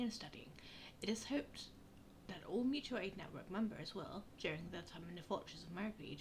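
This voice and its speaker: A woman's voice: mid-range voice, poor audio. A woman giving casual commentary on a game and reading its text aloud. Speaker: video game player. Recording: clear background noise, game ambience.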